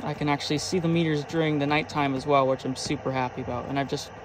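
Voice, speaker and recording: Man, higher-pitched voice, informal hobby vlogger, enthusiastic, casual walkthrough, handheld recording, vehicle noise in background. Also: steady tone, fast. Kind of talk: casual vlog walkthrough, showing gear. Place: in and around a truck. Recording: clear vehicle and ambient noise.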